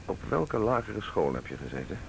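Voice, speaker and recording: An actor playing a calm, suspicious male police detective in a radio drama, questioning in a measured, formal way. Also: low voice, gravelly, heavily acted. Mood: probing, polite. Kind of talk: Dutch radio drama acting. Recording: noisy radio play, vehicle ambience.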